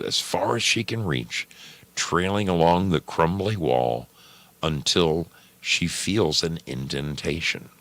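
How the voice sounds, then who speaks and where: A man narrating a story expressively, with measured, dramatic phrasing. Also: very deep voice, vocal fry, bright, forceful tone, dry recording.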